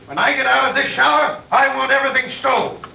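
A man's voice: very high-pitched, brisk, vocal fry, slight background noise, heavily acted. An actor playing a stern, fussy naval captain in a radio drama, clipped and bossy as he issues orders. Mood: irritated, commanding. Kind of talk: 1960s radio drama acting. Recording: muffled vintage radio recording, echoey.

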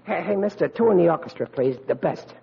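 A man's voice: a little high, lively intonation, fast talker, creaky. An actor playing a brash, insecure teenage boy with blustering, slangy speech in old-time radio comedy style. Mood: flustered. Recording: dull, lo-fi vintage radio recording.

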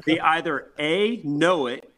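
A man's voice: on the high side, slow pace, a bit bright. Emphatic, boastful man in a casual interview. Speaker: rock band member being interviewed.

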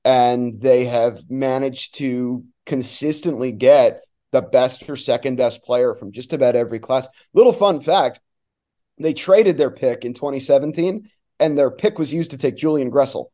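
A man's voice: medium pitch, moderate pace, mellow. A man who works as a soccer announcer, chatting casually and enthusiastically in detail as a podcast guest. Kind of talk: casual sports analysis chat.

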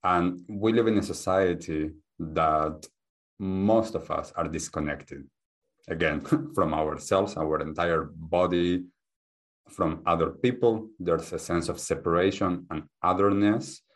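A man from Argentina with a hard-to-place mixed accent, speaking as a podcast guest in a soft, measured, reflective way. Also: sits low. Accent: mixed, hard to place, Argentine-Spanish base. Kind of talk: calm interview talk.

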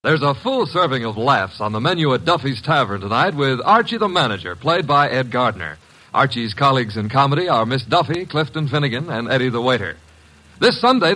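Bright-voiced old-time radio announcer man. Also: rough recording. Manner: booming, showy promotional delivery.

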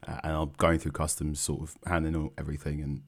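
Very deep-voiced man telling stories. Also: quick pace, slightly rough. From England. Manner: animated, acts out quoted people in his stories. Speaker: podcast guest. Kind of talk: casual storytelling.

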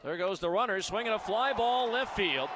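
A man doing live baseball radio play-by-play, excited and drawing out his calls. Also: sits high, heavy background noise. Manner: excited, drawn-out home run calls.

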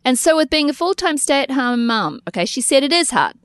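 A woman hosting a podcast in casual chat, animated and laughing as she reads a listener letter aloud. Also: sits high, fairly quick, no room sound, studio quality. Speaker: podcast host.

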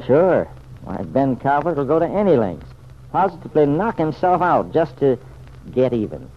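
A man's voice: on the high side, very animated, normal speed. An actor playing a plain-spoken, good-hearted American regular guy with a folksy, slangy manner in an old-time radio serial. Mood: disgusted. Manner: folksy, slangy, hesitant at times. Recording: dull, noisy vintage radio recording.

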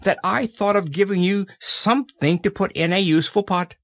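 A small, anxious storybook piglet, put on by a male reader. The voice is pitched up, meek and hesitant. It has a sweet, timid nature and swings up and down in tune. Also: big pitch swings, takes it slowly, gravelly, a bit bright, breathy edge, no room sound, clean studio sound, full character voice. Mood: happy. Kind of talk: children's storybook character reading.

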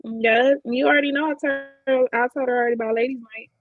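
Female co-host with upbeat short chime-ins, slightly high, on dull lo-fi audio. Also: fairly even intonation, quick pace, no echo. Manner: brief, upbeat interjections. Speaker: livestream co-host. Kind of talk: casual livestream chat.

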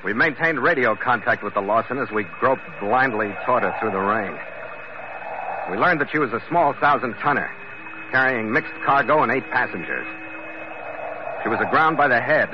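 An actor playing a steady, seasoned ship's captain, narrating his log in clipped 1940s radio drama style. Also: mid-range voice, close to an everyday voice. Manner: terse, clipped log-entry phrasing. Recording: noisy, muffled old radio recording.

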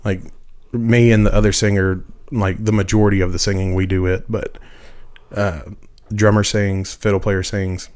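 A man talks in a fairly low voice on a casual podcast. He is laid-back and self-deprecating, and he keeps dropping "man" into his sentences. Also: little pitch movement, moderate pace, a little mellow, clear, resonant tone. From Nashville, Tennessee. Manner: easygoing, self-deprecating, says "man" often. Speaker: guest musician.

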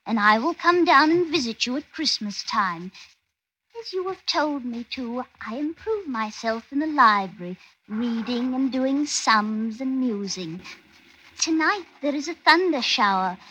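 A lovestruck young woman in classic radio drama, sweet and earnest with a firm streak. Her voice is high and bright, with a breathy edge and theatrical phrasing. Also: full character voice, poor audio. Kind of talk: old-time radio drama acting.

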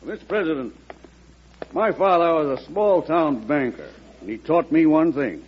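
An actor playing a stern, unyielding American senator in an old radio drama, speaking formally and with measured weight. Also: male voice, a little high, animated, noisy background, close to an everyday voice. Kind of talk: 1940s radio drama acting. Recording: dull, muffled old radio recording.

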